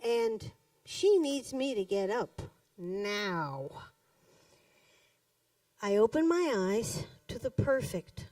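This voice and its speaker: A woman reading her own poems live in a cafe, with deliberate, dramatic phrasing, pauses and emphatic stresses. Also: fairly high pitch, sing-song, a little mellow. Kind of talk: live poetry reading to an audience. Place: indoors in a cafe. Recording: roomy echo, distant microphone.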